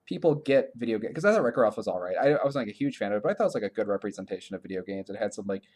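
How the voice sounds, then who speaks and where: A man co-hosting a podcast in casual conversation, informal and thinking out loud as he talks. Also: fairly low pitch, expressive, very fast. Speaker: podcast co-host. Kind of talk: casual podcast chat about games and films.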